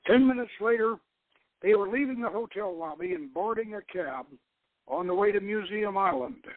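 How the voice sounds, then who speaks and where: A man's voice: high-pitched, no echo. A man giving a dramatic reading of a novel as narrator, stumbling now and then. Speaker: host reading own novel aloud. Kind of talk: dramatic audiobook-style narration. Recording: dull, lo-fi radio sound.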